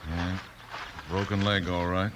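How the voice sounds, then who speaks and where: A man playing a hearty but worried sawmill owner in a 1950s radio Western, brisk and folksy as he gives orders. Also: low-pitched, level tone. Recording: faintly noisy old radio recording.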